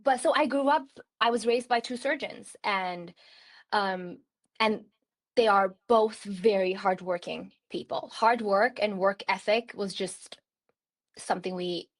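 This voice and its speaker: A woman's voice: mid-range voice, measured pace. A woman from Turkey in her thirties, speaking as a podcast guest. She talks in a loose, chatty way, scattering "like" through her sentences and breaking into easy laughs. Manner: chatty, many "like" fillers, laughs often. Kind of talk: casual conversational storytelling.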